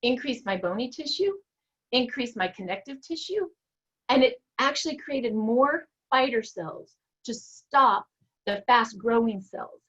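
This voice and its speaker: A woman's voice: fairly high pitch, expressive, measured pace, fairly bright tone, slightly rough, dull audio. A woman giving an earnest, emphatic personal testimonial in casual talk. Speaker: network marketing guest.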